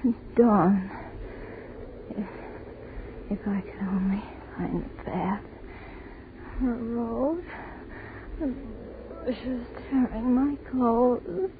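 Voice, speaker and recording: An actress playing a terrified young woman in 1940s radio horror style, halting and pleading as she repeats herself in desperation. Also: on the high side. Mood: pained, faltering. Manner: halting, repetitive, pleading speech. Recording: scratchy, tinny 1940s radio broadcast.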